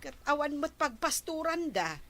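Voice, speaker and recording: A nosy ilocano village gossip, highish voice, radio drama, faint music. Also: female voice, big pitch swings, normal speed, fairly bright tone, heavily acted. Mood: curious, gossipy.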